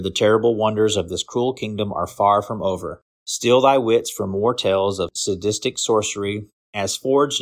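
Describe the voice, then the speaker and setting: Lower-voiced man reading catalog copy. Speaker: comic catalog reviewer. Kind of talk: casual monologue, reading a blurb.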